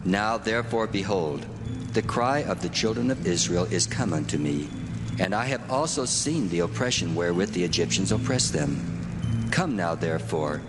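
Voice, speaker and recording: An actor playing God in a dramatized scripture reading, speaking in a solemn, measured way. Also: male voice, deep voice, animated. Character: God, deep commanding divine male voice. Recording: long echoing reverb with music.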